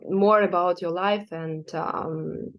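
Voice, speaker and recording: A woman from Ukraine, hosting her own interview channel and speaking in halting sentences with many fillers. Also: lowish voice, steady tone, highs cut off. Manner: halting, filler-heavy speech. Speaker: channel host. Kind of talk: interview host.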